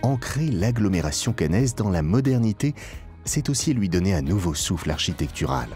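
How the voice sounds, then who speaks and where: A man with a low voice narrates a polished institutional film. His intonation moves widely while the delivery stays formal and measured, stressing key phrases. Light music plays behind him. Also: very animated, a little mellow. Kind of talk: scripted promotional documentary narration. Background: background music.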